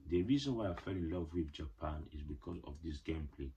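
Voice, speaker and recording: A man's voice: extremely low pitch, expressive, fast talker, warm tone, dull audio, slight background noise. A young man telling a casual personal story on his channel, rambling with lots of filler words and playful slangy asides. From Ghanaian parents. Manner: rambling, heavy "like" and "you know" fillers. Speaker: vlogger.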